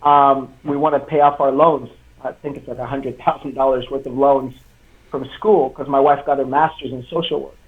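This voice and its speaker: A young man from Congo, a native French speaker, talking as a guest author on a video call, earnest and halting. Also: medium pitch, fairly even intonation. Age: young adult. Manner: earnest, halting, many fillers and restarts. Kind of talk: live online interview. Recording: tinny video call line.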